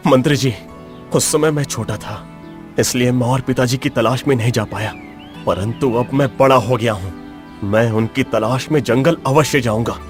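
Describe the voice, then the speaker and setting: A man's voice: mid-range voice, normal speed, soft background music, close to an everyday voice. A man voicing an earnest, sorrowful young prince in a cartoon story, speaking formally and with feeling. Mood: resolute. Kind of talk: dramatized cartoon story dialogue.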